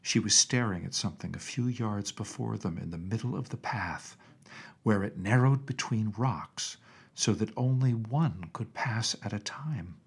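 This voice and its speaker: A man's voice: lowish voice, unhurried, creaky, husky. A man narrating a children's storybook from a script as an audiobook reader.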